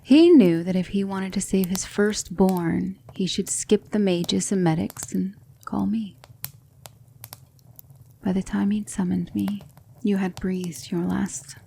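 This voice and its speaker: Woman acting as gentle female demon tutor, mellow, gravelly edge, whispery, reassuring, close-miked ASMR roleplay. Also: medium pitch, steady tone, slow, slight background noise. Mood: calm, solemn storytelling. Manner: soft-spoken, often whispery, reassuring. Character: gentle demon woman mentor, soothing and patient.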